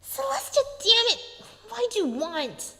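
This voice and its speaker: A woman's voice: very high-pitched, big pitch swings, measured pace, vocal fry, exaggerated voice. A woman voicing a brash, tomboyish young female pegasus pony with a sarcastic edge. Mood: alarmed.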